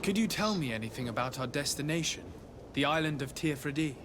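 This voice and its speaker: A man's voice: on the high side, lively intonation, a little fast, very noisy, natural voice. A man playing a courteous, inquisitive young nobleman envoy in video game dialogue, polite, formal and composed. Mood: polite, curious.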